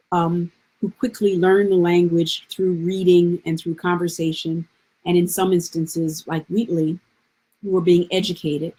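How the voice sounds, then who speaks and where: A woman explains literature in a thoughtful, measured way, as a scholar on a panel. Her voice sits on the low side, with a very mellow tone and a husky grain. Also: poor audio. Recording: live online event.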